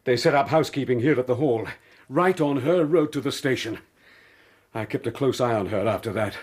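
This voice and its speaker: A man's voice: medium pitch, brisk, a little mellow, slightly rough, natural voice. An actor playing a hot-headed lovesick man. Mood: earnest. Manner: passionate, vehement. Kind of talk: BBC radio drama acting.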